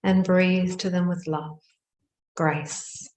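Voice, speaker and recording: A woman guiding a meditation in measured, hushed phrases with long pauses between them. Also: lowish voice, steady tone. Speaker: meditation guide. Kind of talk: guided meditation narration. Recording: roomy, echoing sound.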